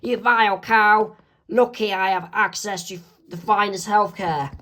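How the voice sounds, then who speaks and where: A woman's voice: medium pitch, unhurried, fairly bright tone, natural voice. A woman voicing a spiteful little scheming villain boy who sneers and threatens. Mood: menacing.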